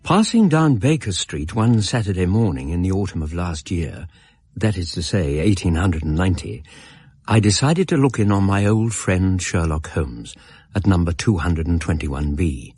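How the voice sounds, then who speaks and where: Low husky English gentleman narrator. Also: animated. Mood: reflective. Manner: measured, formal, literary. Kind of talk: dramatised first-person narration.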